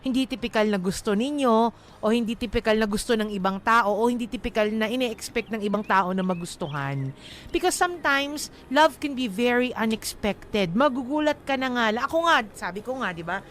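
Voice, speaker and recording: A woman's voice: fairly high pitch, expressive, normal speed, a little hiss or hum. A Filipino woman hosting radio, giving love advice in a chatty, emphatic way and dropping in English phrases. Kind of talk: casual radio chat.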